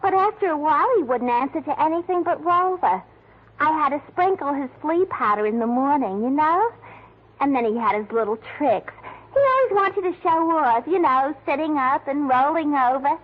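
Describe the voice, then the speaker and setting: An actress playing a naive, scatterbrained young secretary who earnestly mangles big words in a 1940s radio detective show. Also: female voice, high-pitched, animated, normal speed. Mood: chatty, naive. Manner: earnest, malaprop-prone, naive. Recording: muffled vintage 1940s radio broadcast.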